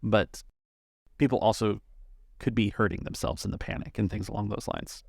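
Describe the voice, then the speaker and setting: A man narrating a tabletop roleplaying game as game master, thinking aloud in a halting way. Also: fairly low pitch, fairly even intonation, creaky, darkish tone, dry recording, clean studio sound.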